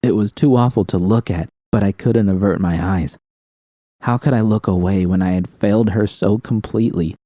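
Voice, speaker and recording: A man reading first-person fiction as an audiobook, with tense, expressive dramatic storytelling. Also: on the low side, normal speed, dry recording, studio quality.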